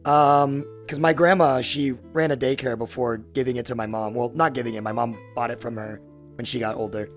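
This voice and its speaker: A man in his thirties with a raspy voice, chatting casually and in a rambling, halting way as a podcast host. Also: mid-range voice, steady tone, soft background music. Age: 30s.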